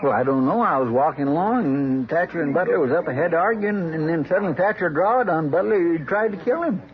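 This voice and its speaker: A man's voice: a little high, animated, a little fast. A man playing an eager, deferential, folksy marshal's helper in an old Western radio drama. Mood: urgent, anxious. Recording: muffled old radio recording, crowd behind.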